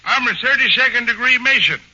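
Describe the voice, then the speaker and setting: An actor playing a slow-talking, folksy rural farmer in a 1940s radio comedy, on a lo-fi old broadcast. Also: male voice, extremely high pitch, pushed and bright, full character voice. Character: slow rustic upstate male farmer, dry-witted.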